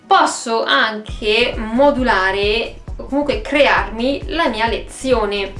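A woman's voice: on the high side, slow pace, a bit bright, faint music behind. A woman in her thirties chatting casually and emphatically to camera as a vlogger, recorded in a room at home. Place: small room at home, indoors. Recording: roomy, echoey home recording.

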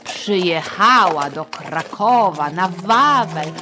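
A woman hosting a children's history podcast, telling the story in a playful, chatty, expressive manner. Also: lowish voice, slow, background noise.

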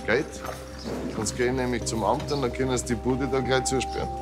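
A cocky man acted by a man in a TV crime comedy, speaking at medium pitch. He is pushy and insinuating, and music runs clearly behind him. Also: steady tone, brisk, close to an everyday voice. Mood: menacing.

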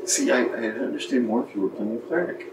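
A middle-aged man chatting casually over a video game in a laconic, low-key way. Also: fast talker. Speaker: gamer co-playing in a let's play. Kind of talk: casual chat while playing a video game. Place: indoor room at home beside a TV. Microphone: shared microphone set between two players. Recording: distant mic, echoey room, loud noise.

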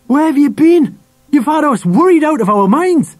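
A man voicing an angry, scornful working-class father in a storybook, gruff and scolding with colloquial swearing. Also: on the high side, expressive, brisk, a little mellow, no room sound, studio quality, voice pushed up.

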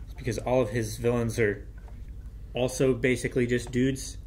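A man's voice: mid-range voice, steady tone, normal speed, a little mellow, a little hiss or hum. Man, 30s, casual chat, dry interjections, studio desk mic. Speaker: movie reaction co-host. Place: studio room with shelves and swords.

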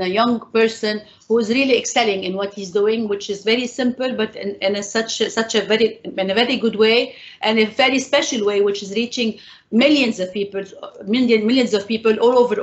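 A woman's voice: fairly high pitch, a little fast. A middle-aged woman hosting an interview, praising her guest and repeating herself as she searches for words. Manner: warm praise, lots of repeated words and restarts. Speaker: interviewer, host. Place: indoors, room with framed painting. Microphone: lapel mic. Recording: muffled video call audio.

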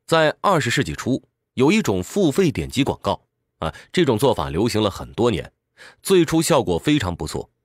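A man's voice: medium pitch, wildly swooping pitch, moderate pace, dry recording, studio quality. A man narrates a scripted explainer in a didactic way, posing questions and answering them. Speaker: audiobook summary narrator.

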